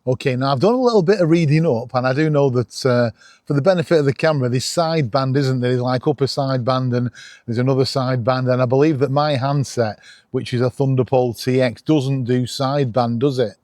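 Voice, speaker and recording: A man's voice: mid-range voice, brisk, darkish tone, no echo, studio quality. A middle-aged man, chatting casually and enthusiastically as a self-deprecating YouTube host.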